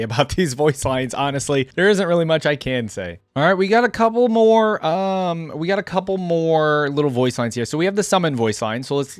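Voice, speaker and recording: A man's voice: fairly high pitch, no room sound. A man giving casual reaction commentary as a video host, musing and thinking aloud as he goes. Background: faint music.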